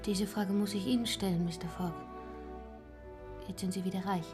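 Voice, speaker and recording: A woman's voice: mid-range voice, fairly even intonation, moderate pace, lightly acted. Earnest lady in love played by a woman, music behind. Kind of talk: German radio play acting.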